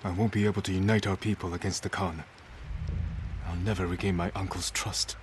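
An actor playing a resolute young samurai lord in a video game cutscene, speaking low and earnest with clipped urgency. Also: male voice, little pitch movement, a little fast, noisy background, close to an everyday voice. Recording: game score behind the voice.

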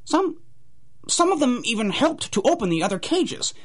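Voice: mid-range voice, big pitch swings, moderate pace. A performer playing an earnest, fussy zoo keeper in a 1930s-style radio drama, prim, informative and a little hesitant. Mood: earnest recalling.

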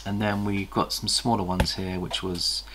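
A man's voice: low voice, flat delivery, background noise. A middle-aged man, hosting a chatty snake-breeding vlog from an indoor reptile room. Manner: chatty, enthusiastic, pauses to recall details. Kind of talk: casual show-and-tell vlog. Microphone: camera across a table.

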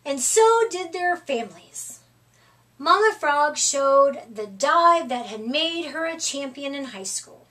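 High-pitched, slow-paced woman reading a storybook. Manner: expressive, deliberate storytelling for young children. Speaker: storytime reader. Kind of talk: children's picture book read-aloud. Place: small room at home, indoors. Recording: slightly distant microphone.

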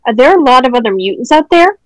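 A woman with a high, lively voice acts out a script over a video call. She sounds casual and indignant, with a close, dry sound. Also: quick pace, no echo. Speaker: table read participant voicing a teenage girl. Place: indoors at home on a group video call. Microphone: video call microphone.

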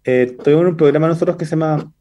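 A young man with a Chilean accent talks quickly and fluently over a video call, stringing his points together with fillers. His voice is dark and mellow. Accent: Chilean Spanish. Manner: fast, fluent pitch, many fillers like "entonces" and "eh". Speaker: startup CEO guest. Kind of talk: business interview by video call. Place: indoor room.

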